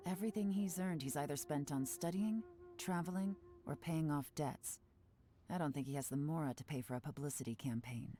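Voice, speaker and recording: A cool, sharp-minded female investigator from a video game, voiced by a woman. Her low, mellow voice stays calm and measured as she reasons things out, and soft game music sits faintly behind her. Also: lightly acted. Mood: reasoning. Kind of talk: game voice acting.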